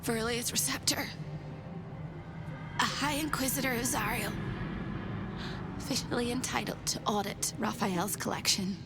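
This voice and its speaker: An unhinged, whimsical ghostly prisoner from a video game. She warns in a jittery, theatrical sing-song. Music is loud behind her. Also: fairly high pitch, steady tone, unhurried, pauses often. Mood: conspiratorial. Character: unhinged, whimsical female captive apparition, jittery and warning.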